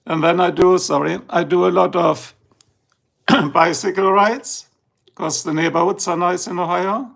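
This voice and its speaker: A man's voice: high-pitched, steady tone, unhurried, vocal fry, a bit bright. A man from Germany with a German accent, casually chatting on a livestream while showing photos, rambling and a bit drowsy. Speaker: livestream host. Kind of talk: casual live-stream chat. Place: indoors, filming a tablet slideshow.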